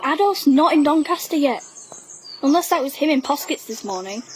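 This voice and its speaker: A woman playing a guarded, sharp-tongued wartime schoolgirl in an audio drama, giving brisk, dry retorts. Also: high-pitched, animated, a little hiss or hum. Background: birdsong.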